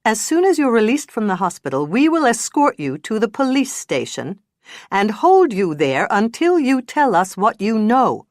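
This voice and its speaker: A woman's voice: fairly high pitch, very animated, normal speed, no echo, studio quality, voice pushed up. A woman voicing a stern female police detective who questions without sympathy. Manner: firm, cold, pressing questions.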